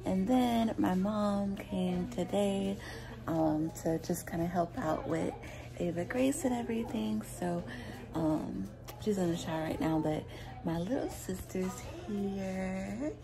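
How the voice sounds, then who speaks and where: A young woman talking quietly to her phone camera from a couch at home, low-key and rambling with fillers. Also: slow pace, gravelly, over music. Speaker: vlogger, stay-at-home mom. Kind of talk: quiet casual chat to camera. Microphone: phone at arm's length.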